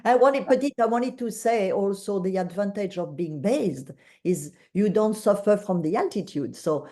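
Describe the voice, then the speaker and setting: A woman's voice: medium pitch, animated, normal speed, warm tone. A woman with a French accent hosting a video call, speaking with frequent fillers. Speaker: webinar host.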